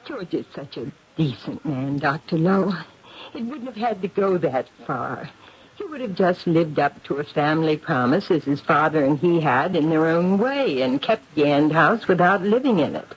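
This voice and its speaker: A woman's voice: low-pitched, animated, heavily acted. A woman playing a guilt-ridden titled lady devoted to spiritualism, confiding dramatically in a 1970s radio drama. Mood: grave, confiding. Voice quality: husky. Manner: confessional, dramatic, measured. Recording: muffled vintage radio broadcast.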